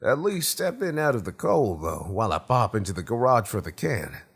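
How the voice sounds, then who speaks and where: A man's voice: fairly low pitch, very animated, moderate pace, breathy edge, exaggerated voice. A man voicing a cheery middle-aged suburban host in an audiobook, folksy and grating, with a Florida Yankee accent and breezy 1950s swagger. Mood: insistent, hospitable. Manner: folksy, eager, talks in one breezy rush.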